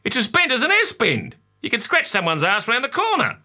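A man's voice: very high-pitched, wildly swooping pitch, normal speed, pushed and bright, pitched above the performer's own voice, full character voice. A man with an Australian accent voicing a blunt, swaggering working-class father who swears casually. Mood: blustery. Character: rough, blustery working-class dad.

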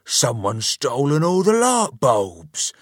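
A man's voice: mid-range voice, very animated, drawn-out words, dry recording, studio quality, voice pushed up, heavily acted. A man voicing a bossy, foolish, blustering husband in a children's storybook. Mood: alarmed wailing. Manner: blustering, demanding.

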